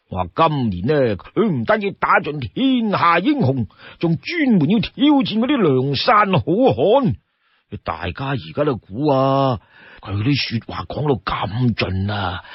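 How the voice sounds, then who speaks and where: A man voicing a talkative old townsman in Cantonese, sighing and exclaiming in awe with drawn-out emphasis. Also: a little high, slightly rough, dry recording, muffled recording, full character voice. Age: older. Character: talkative old male townsman, awed and gloomy storyteller. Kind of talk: dramatized Cantonese storytelling.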